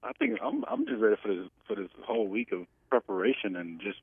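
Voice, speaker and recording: Over a narrow, tinny telephone line, a man in his twenties talks in a deep, low voice. He is an athlete being interviewed, speaking casually with hesitant phrasing and frequent pauses. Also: wildly swooping pitch, vocal fry, breathy edge. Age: 20s. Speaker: pro football player being interviewed. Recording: tinny, band-limited phone line.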